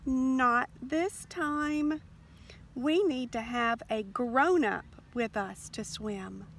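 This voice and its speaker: A woman's voice: a little high, drawn-out words, slight background noise, pitched above the performer's own voice. A woman voicing a worried, sensible young girl chicken in a storybook, in a small, hesitant, shaky voice. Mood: timid, firm. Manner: hesitant, mumbling. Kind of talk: children's storybook character voice.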